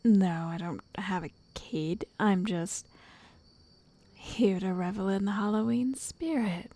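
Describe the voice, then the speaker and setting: Woman acting a slow, breathy, obsessive witch. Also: mid-range voice. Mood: coy. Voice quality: soft, breathy, whispery. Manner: slow, coaxing, possessive endearments. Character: obsessive lovestruck witch, a woman, coaxing and quietly controlling. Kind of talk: ASMR roleplay.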